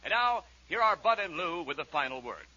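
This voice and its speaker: Very bright, high-pitched male 1940s radio announcer. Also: sing-song, fairly quick. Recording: muffled, faintly noisy 1940s radio.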